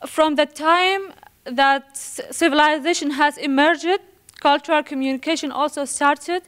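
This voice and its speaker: High female voice, young adult, from Iraq, student presenter, nervous, halting careful reading, word stumbles. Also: fairly even intonation, a bit bright. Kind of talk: prepared academic presentation.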